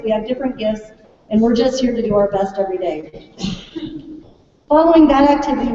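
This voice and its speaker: An American woman with a fairly high, soft-toned voice speaks as a teacher to a gathering. She is informal and chatty, often checking in with questions. The sound is distant and muffled, ringing around a big hall. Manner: chatty, prompts children and audience with questions. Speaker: third grade teacher presenting a class project. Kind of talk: informal welcome speech to an audience. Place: large echoey room at a school event. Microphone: distant microphone. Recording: echoey hall, distant mic, muffled, faint noise.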